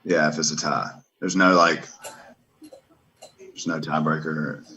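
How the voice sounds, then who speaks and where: A man on a public board speaks in a low voice at a slow, unhurried pace. His remarks are brief and informal, as part of a meeting. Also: level tone, sharp tone, a little hiss or hum, low-quality recording. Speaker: board member.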